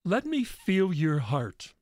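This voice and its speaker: Guy reading a gentle angel in a religious story, tender n solemn, consoling, dry close sound. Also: high voice, wildly swooping pitch, takes it slowly, no room sound, voice pushed up, heavily acted.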